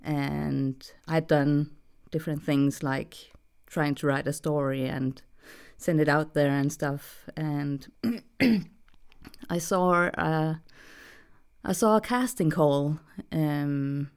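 A woman's voice: low voice, slow pace, a little mellow, clean tone. A young adult woman with a Danish accent, a voice actress talking as a guest, hesitant with many fillers and frequent laughs. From Denmark. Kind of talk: casual interview chat.

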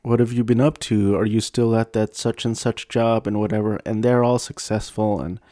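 A young man tells a personal story in a low, rambling, self-deprecating way, restarting his sentences often. The sound is dry and close. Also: fairly even intonation, normal speed, dark tone, no echo. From United States.

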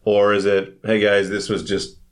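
A man's voice: on the low side, completely flat delivery, normal speed. A man hosting a casual podcast interview, asking questions informally and thinking aloud.

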